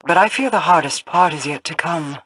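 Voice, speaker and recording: A woman's voice: sits low, a little fast, noisy background, close to an everyday voice. An actress playing a regal, solemn mystic queen in a fantasy video game.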